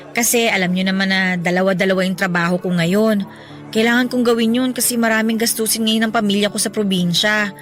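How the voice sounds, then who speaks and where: A woman's voice: a little high, fairly bright tone, close to an everyday voice. A woman with a Filipino accent playing a stressed young breadwinner who confides her heartbreak plaintively in a Tagalog radio drama.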